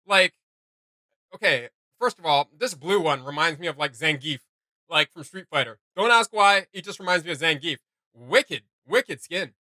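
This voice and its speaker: A man hosting a casual reaction video, giving gushing, slangy commentary in a halting flow. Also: on the high side, animated, bright, forceful tone, dry recording.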